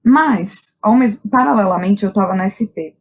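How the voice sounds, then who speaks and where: A woman's voice: mid-range voice, moderate pace, breathy edge. A woman with a Brazilian accent speaks as an interview guest, a playwright and director thinking aloud and often checking in with short tag questions. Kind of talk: reflective conversational interview.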